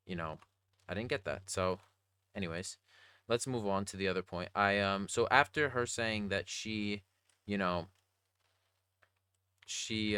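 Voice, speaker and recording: A young adult man with a slightly raspy voice, talking casually and earnestly in a rambling, slangy video diary. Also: low-pitched, sharp tone, no room sound. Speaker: vlogger.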